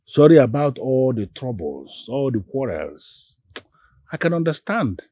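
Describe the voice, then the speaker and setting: A man acting a calm, apologetic peacemaker in a film drama. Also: medium pitch, measured pace, no room sound, clean studio sound. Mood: apologetic, soothing.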